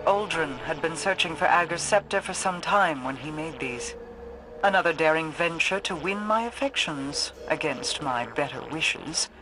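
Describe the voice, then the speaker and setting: A woman's voice: low-pitched, animated, normal speed, rough recording. An aloof, regal queen over music. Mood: cool, composed. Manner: formal, composed, aloof. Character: regal, cool, reserved queen guiding through ancient paths. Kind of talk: dramatic video game exposition.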